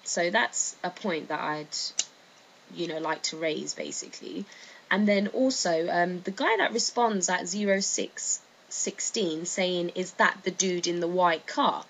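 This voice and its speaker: A woman's voice: lowish voice, a little slow, gravelly, fairly bright tone, low-quality recording. A woman giving informal explanatory commentary in a hesitant, tentative manner. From United Kingdom. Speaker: video narrator. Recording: faint background noise.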